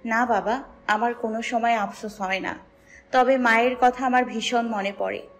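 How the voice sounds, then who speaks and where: Actress as young daughter, soft-hearted, devoted, fairly high voice, faint music. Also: female voice, steady tone, normal speed, lightly acted. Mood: reassuring, wistful. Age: young adult. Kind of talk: audio story drama acting.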